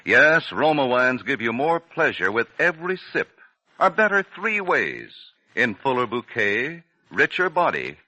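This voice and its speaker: Forceful, animated vintage radio announcer man. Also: medium pitch, dry recording, low-quality recording. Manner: polished, emphatic, deliberate phrasing. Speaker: radio announcer and sponsor spokesman. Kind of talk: 1940s radio advertising announcement. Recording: dry vintage 1940s radio recording.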